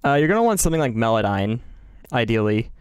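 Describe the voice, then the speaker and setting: A man narrating a software tutorial in a casual, instructional way as its host. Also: mid-range voice, big pitch swings, quick pace, clean tone, dry recording, studio quality.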